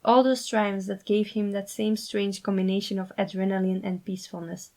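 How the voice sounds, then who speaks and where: Casting: female storyteller, medium pitch, scripted fiction narration. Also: flat delivery, moderate pace, a little mellow. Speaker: writer and narrator.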